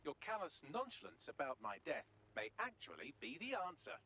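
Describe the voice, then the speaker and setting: A man's voice: fairly high pitch, wildly swooping pitch, fairly quick, bright, forceful tone, slight background noise, exaggerated voice. A man playing a droll, articulate artificial intelligence assistant in a video game, with a dry, sardonic manner. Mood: brisk, dry. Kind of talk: video game voice acting, briefing.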